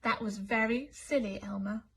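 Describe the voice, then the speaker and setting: Picture-book bird, read by a woman: sensible, plain-spoken, a little chiding, higher register. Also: fairly even intonation, normal speed, slightly rough. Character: sensible, matter-of-fact storybook bird.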